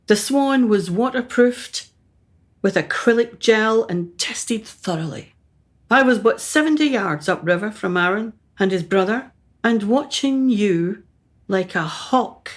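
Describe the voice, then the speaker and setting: A woman's voice: medium pitch, lively intonation, a little slow, lightly acted. A woman acts out a self-absorbed society mother for a radio play. She is imperious and brusque, speaking in short, clipped phrases with upper-class hauteur. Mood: defensive, haughty.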